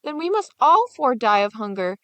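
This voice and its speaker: Woman as a scolding, bossy fairy-tale stepmother, raised pitch. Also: big pitch swings, normal speed, dry recording. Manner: bossy, sharp-tongued, scornful.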